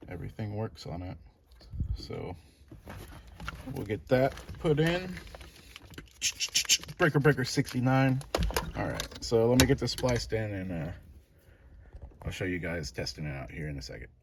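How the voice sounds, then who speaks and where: Low-voiced man in casual garage vlog. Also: very animated, measured pace, gravelly, pauses often, a little hiss or hum. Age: late 30s. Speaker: car restoration vlogger.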